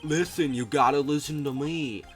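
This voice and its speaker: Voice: mid-range voice, moderate pace, faint music behind, pitched above the performer's own voice, natural voice. A streamer voicing a frantic, earnest, goofy young man bursting to testify in a courtroom game.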